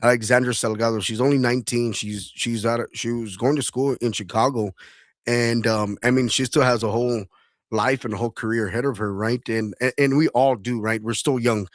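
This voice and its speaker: A man hosting a radio talk show, stumbling and repeating words as he rambles on. His voice sits in a middle pitch and sounds close and dry, with no room around it. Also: fairly even intonation, brisk, no echo.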